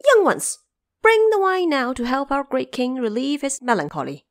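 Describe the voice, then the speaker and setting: A woman voicing loyal, excitable monkeys who adore their king, in an audio drama. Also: sits high, normal speed, voice pushed up, full character voice. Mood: excited praise. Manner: excitable, adoring. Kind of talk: audio drama character acting.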